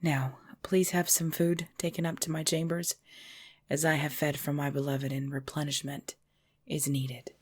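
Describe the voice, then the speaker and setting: A woman playing a centuries-old vampire mistress in an ASMR audio drama, speaking formally in a breathy, measured voice. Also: deep voice, little pitch movement, a little mellow, no room sound. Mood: gracious, composed. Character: centuries-old vampire mistress, female, cold ruler turned protective.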